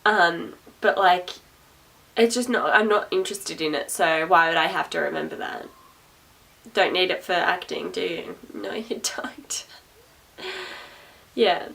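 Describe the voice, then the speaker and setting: A young woman with a naturally loud, projecting voice rambles to camera. Her tone sits low with a gravelly fry, and she sounds a little distant from the mic. She is chatty, sarcastic and laughs easily. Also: measured pace, long pauses. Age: young adult. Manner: sarcastic, chatty, repeats herself, laughs often. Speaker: vlogger. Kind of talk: rambling casual talk to camera.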